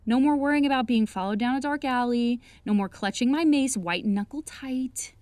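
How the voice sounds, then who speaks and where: A woman's voice: sits high, moderate pace, darkish tone, clear, resonant tone, no echo, close to an everyday voice. A woman acting a homebound young woman in an audio comedy, defensive and jokey, protesting with sarcastic drama.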